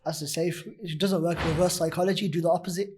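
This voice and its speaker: A young man, a nasheed artist, talking as an interview guest in a husky, deep voice, rambling with many fillers. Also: steady tone, quick pace, darkish tone, a little hiss or hum. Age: young adult. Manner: rambling, many "you know" fillers and restarts. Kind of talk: casual interview talk.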